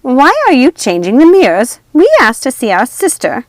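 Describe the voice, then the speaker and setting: A woman voicing wicked, frenzied witch sisters from a storybook, imperious and menacing, with shrill, commanding, dramatic delivery. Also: big pitch swings, moderate pace, no echo. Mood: furious. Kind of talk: storybook character acting.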